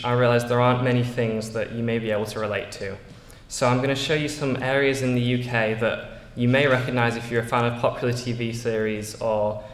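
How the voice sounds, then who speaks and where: A man's voice: medium pitch, a little hiss or hum. A teenage boy from England with a Yorkshire English accent, giving an earnest prepared school speech with small slips and fillers. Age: teens. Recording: echoey hall, long reverb.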